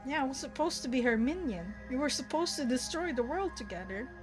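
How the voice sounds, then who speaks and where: A woman's voice: high voice, lively intonation, fairly quick, over music. A woman streamer chatting casually while she plays a game, giggling often and teasing playfully. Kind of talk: casual gaming stream chat.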